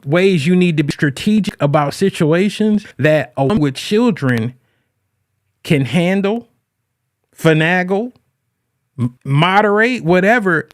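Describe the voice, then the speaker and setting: A man hosting a podcast, talking casually as a relationship coach, animated and often restarting his phrases. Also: on the high side, no room sound, studio quality. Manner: animated, quotes people in raised voices. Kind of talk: casual monologue.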